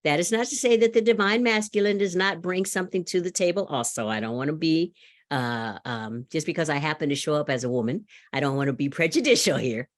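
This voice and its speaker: A middle-aged woman giving a sermon, loud and animated, laughing often and telling a story. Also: low voice, fairly quick. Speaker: speaker at a church service.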